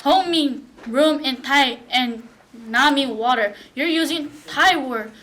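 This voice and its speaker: A woman's voice: high-pitched, slow pace, fairly bright tone. A young woman playing a classmate who pointedly challenges another student's claims, in an amateur student drama. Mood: challenging.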